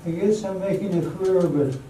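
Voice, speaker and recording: A man's voice: high-pitched, a little fast, mellow, natural voice. A man playing an earnest, reassuring friend in an old-time radio drama, recorded at a distance in an echoey room. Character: Johnny, earnest, reassuring male friend giving love advice. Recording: echoey, distant stage mic with faint noise.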